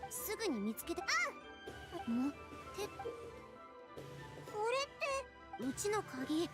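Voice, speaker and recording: Tiny, very high-pitched excitable fairy. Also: female voice, a little slow, over music, heavily acted. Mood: flustered. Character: small, excitable childlike fairy companion. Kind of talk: Japanese anime game voice acting.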